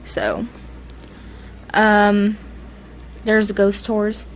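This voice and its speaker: A woman's voice: medium pitch, completely flat delivery, drawn-out words. Southern woman chatting, slightly noisy recording. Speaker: podcast co-host. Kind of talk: casual ghost-story chat.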